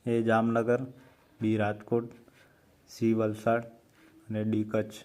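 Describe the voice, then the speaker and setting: Gujarati accent guy reading quiz questions, monotone, slow and careful with each option. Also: fairly low pitch, noisy background. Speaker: quiz host for exam preparation.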